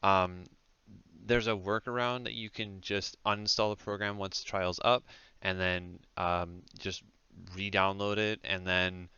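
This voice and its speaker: A man's voice: sits low, little pitch movement, a little slow, pushed and bright, clear, resonant tone, no room sound, highs cut off. A man hosting a casual step-by-step tech tutorial as a voice-over, speaking informally with frequent hesitations. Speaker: tutorial host.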